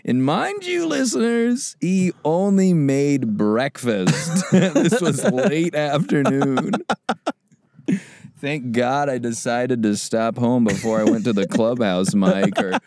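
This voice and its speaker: A young man co-hosting a comedy podcast, telling rambling stories in casual chat and breaking into high-pitched laughs. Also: animated, takes it slowly, a little mellow, dry recording. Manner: rambling, giggly storyteller with sudden high laughs. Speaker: podcast co-host.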